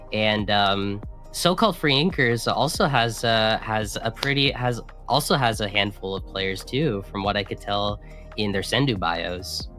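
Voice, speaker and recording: A man with a raspy voice, hoarse from a cold, commentates a video game tournament. He talks in a hesitant, halting way, full of fillers and restarts. Faint game music plays behind him. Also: mid-range voice, fairly bright tone. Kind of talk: esports commentary.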